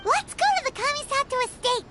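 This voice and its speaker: A woman voicing a tiny, cheerful, chatterbox fairy-like companion in a video game, given to excited exclamations. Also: very high-pitched, a little fast, music in the background. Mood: bubbly, excited. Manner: excitable, exclaiming, refers to self by name.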